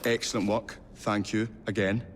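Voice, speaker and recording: An actor playing an earnest, courteous and easily flustered Victorian inventor in a video game. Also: male voice, fairly low pitch, level tone, normal speed, a little hiss or hum, close to an everyday voice. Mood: grateful. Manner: flustered, hesitant, old-fashioned polite. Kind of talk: video game cutscene acting.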